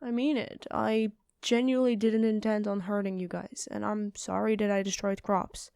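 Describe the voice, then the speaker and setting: A woman playing a gentle, earnest giant who speaks politely and apologetically in a fantasy audio roleplay. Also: mid-range voice, no room sound, studio quality, natural voice.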